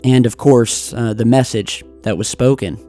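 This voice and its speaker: Mellow man narrating over music. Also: medium pitch, normal speed. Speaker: YouTube host. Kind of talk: scripted commentary narration.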